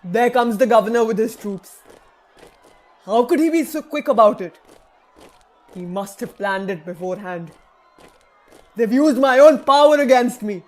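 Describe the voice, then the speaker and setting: A man's voice: extremely high pitch, expressive, unhurried, long pauses. A man playing a grave, resolute rebel king in a theatrical audio drama. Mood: alarmed, bitter. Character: rebellious male king, grave, resolute, turned against his own rule. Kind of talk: theatrical stage-play acting in an audio drama.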